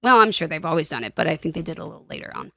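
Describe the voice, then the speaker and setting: A woman's voice: deep voice, expressive, very fast, a bit bright, no echo, studio quality. A woman co-hosting a podcast in casual chat, speaking with a slight lisp and rambling with restarts. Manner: rambling, many restarts and fillers. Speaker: podcast co-host and former child actor.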